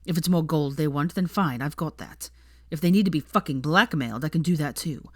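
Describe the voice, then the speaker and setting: A fierce young wizard man performed by a woman narrator in an audiobook. He is intense and protective, snarling clipped commands in a low voice, recorded dry. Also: brisk, no echo. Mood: furious, protective. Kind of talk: acted audiobook dialogue.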